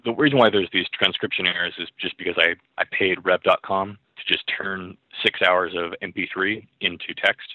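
A man's voice: low voice, fairly even intonation, moderate pace, hardly any pauses, no room sound. A man co-hosting over a narrow call line, casually explaining and analysing in a tentative manner. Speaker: podcast co-host and researcher. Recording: muffled narrow phone-like line.

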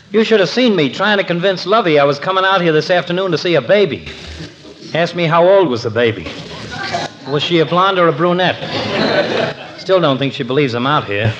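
A man's voice: on the high side, muffled recording, natural voice. An actor playing a brash, wisecracking, reluctant regular guy with slangy delivery in 1940s radio comedy, on a noisy old recording.

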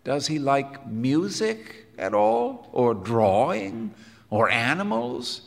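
A man voicing an earnest, well-meaning, idealistic music teacher in an audiobook, gentle and a little rhapsodic. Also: mid-range voice, very animated, slow pace, gravelly, big-room sound, pitched above the performer's own voice, heavily acted. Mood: curious. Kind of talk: audiobook character dialogue.

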